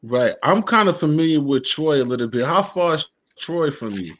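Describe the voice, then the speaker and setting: A man hosting an interview, asking laid-back, halting questions and often saying "man". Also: a little high, lively intonation, a bit bright. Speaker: interview host. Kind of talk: casual interview chat.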